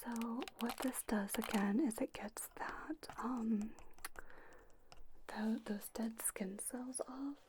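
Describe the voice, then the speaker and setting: A woman whispering softly and breathily in a gentle, unhurried ASMR roleplay, reassuring as she gives instructions like a spa owner. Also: a little high, steady tone, background noise.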